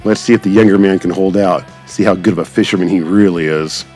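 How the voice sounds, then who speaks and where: A man's voice: low voice, fast, a little mellow. A man giving casual, enthusiastic, rambling gameplay commentary as a channel creator. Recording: background music behind the voice. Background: music.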